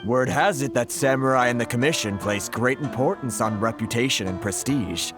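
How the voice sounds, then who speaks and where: An actor playing a shrewd male merchant in a video game, speaking in a measured, courteous and slyly formal way. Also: mid-range voice, over music, natural voice. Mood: smooth, calculating. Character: shrewd, polite male merchant negotiating firmly.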